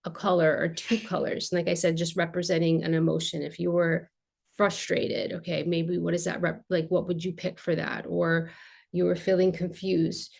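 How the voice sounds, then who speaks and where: A woman talks about her work as an art therapist in a relaxed interview, chatty and full of casual fillers. Her voice sits low with a gravelly, creaky edge. Her delivery is loose and conversational. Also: moderate pace. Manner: chatty, explains with many "like" fillers.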